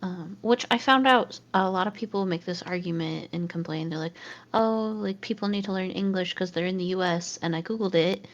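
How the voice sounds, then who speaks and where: A woman's voice: fairly low pitch, brisk, highs cut off. A woman from California, a podcast guest, chatting casually and laughing often. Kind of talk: casual chat.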